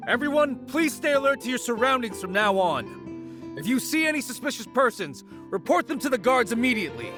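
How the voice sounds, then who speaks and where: An actor voicing a resolute, vigilant citizen in a video game. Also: male voice, very high voice, big pitch swings, fairly quick, over music.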